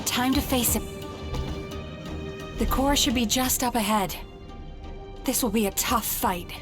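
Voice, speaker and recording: A woman plays an earnest young heroine who studies dreams, speaking with a determined, emphatic push. Her voice sits high and clear. Game music runs behind her. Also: a little slow, close to an everyday voice. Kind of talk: video game voice acting.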